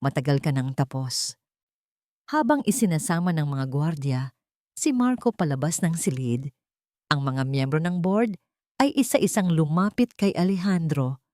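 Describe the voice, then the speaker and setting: A woman's voice: lowish voice, mellow, no echo, clean studio sound. A woman storyteller reading a scripted story as dramatic narration.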